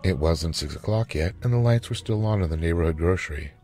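A man reading a short story as a dramatic, expressive narrator. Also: sits low, fast.

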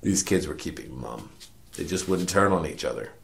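An actor playing a cynical, world-weary detective, narrating a noir parody radio drama in a wry, self-important deadpan. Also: male voice, low-pitched, normal speed, creaky, slight background noise. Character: cynical world-weary hard-boiled male detective narrating his case.

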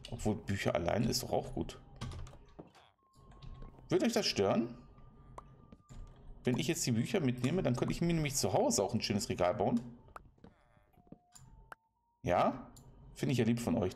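A man's voice: mid-range voice, big pitch swings, moderate pace, creaky, long pauses, darkish tone, slight background noise. A German man giving casual, playful commentary while playing a game, chatting to the animals and villagers he meets. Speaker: let's play commentator.

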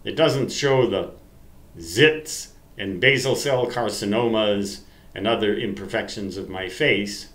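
Slow-talking older American man, echoey room. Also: medium pitch. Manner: folksy, self-deprecating, halting. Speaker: amateur radio vlogger. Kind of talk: rambling reminiscence to camera. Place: small room at home.

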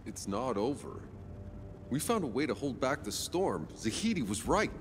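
A man's voice: mid-range voice, very animated, moderate pace, slight background noise, close to an everyday voice. A man playing a determined, plain-spoken soldier hero in a game cutscene, casual and direct. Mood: insistent. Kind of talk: video game cutscene acting. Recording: music under the voice.

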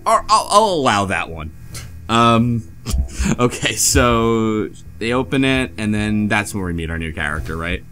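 A man's voice: lowish voice, very animated, measured pace, fairly bright tone, soft background music. A man hosting a casual book club chat, recapping a story and acting out imagined dialogue as he goes. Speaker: podcast host.

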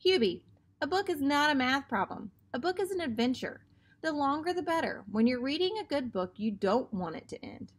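Woman reading as a high-voiced, sensible storybook mom. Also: very animated, moderate pace. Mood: reasoning. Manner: motherly, reasoning. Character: caring, sensible mother of a schoolboy.

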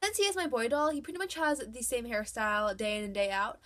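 A woman's voice: a little high, big pitch swings, fast talker, fairly bright tone. A young woman with a cold, narrating a chatty, upbeat voice-over as she shows her dolls' outfits. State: has a cold. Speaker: doll collector vlogger. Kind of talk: scripted voice-over showing doll outfits.

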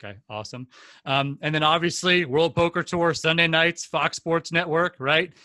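A man's voice: a little high, normal speed, sharp tone, no room sound. A man in his forties hosting a podcast over a video call, enthusiastic and rambling with lots of fillers, at a desk mic at home. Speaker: podcast host. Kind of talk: casual podcast interview. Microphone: desk condenser mic, video call.

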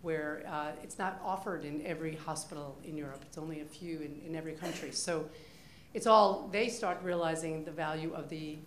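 A woman's voice: low-pitched, brisk, slight background noise. A woman panelist speaking confidently and emphatically at a conference hall table microphone. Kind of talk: panel discussion. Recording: roomy conference hall sound.

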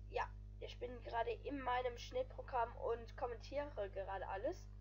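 High-voiced rambling gaming commentator. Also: female voice. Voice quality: rambling, loose, with frequent filler phrases. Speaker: gaming video creator. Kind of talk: casual voice-over commentary.

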